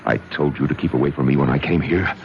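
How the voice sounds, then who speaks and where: An actor playing an irritable, polished nephew with a secret in a 1970s radio drama, curt and exasperated. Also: male voice, very deep voice, fast talker. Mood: menacing. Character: irritable, smooth-talking nephew hiding a dark secret. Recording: dull lo-fi radio broadcast, loud noise.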